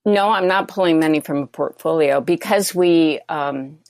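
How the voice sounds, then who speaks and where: A woman in her sixties from the Pacific Northwest, calling in to a radio talk show and explaining slowly and haltingly. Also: low voice, dry recording. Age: 60s. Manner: hesitant, halting, with fillers. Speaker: caller. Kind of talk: phone-in question on a talk show.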